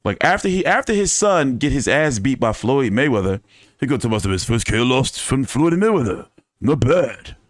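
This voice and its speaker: A man's voice: medium pitch, very animated, normal speed, no echo. A man hosting a casual fight-commentary live stream, talking in loose, profane, joking banter. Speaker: boxing commentary host.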